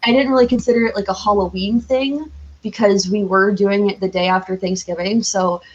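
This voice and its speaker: A woman from the United States speaks at a medium pitch as a podcast guest. Her talk is casual and rambling, and she often corrects herself mid-thought. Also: fairly even intonation, a little fast, lo-fi sound. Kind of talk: casual chat.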